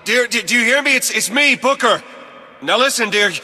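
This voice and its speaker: A man acting in a video game as a gruff, desperate man searching for a lost girl, intense and pleading, barking commands. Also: very high voice, moderate pace, heavily acted. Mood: urgent pleading. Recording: loud music behind the voice.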